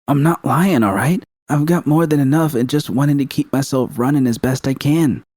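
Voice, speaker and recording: Irritable young woman merc for an audiobook, read by a man. Terse, evasive, dark soft tone, raised pitch. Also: normal speed, no echo, close to an everyday voice. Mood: defensive. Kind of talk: audiobook character dialogue.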